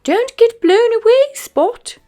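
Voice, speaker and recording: A woman voicing a caring mother dog in a storybook reading, with gentle, motherly warnings. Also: extremely high pitch, lively intonation, slow, faint music behind, pitched above the performer's own voice, heavily acted.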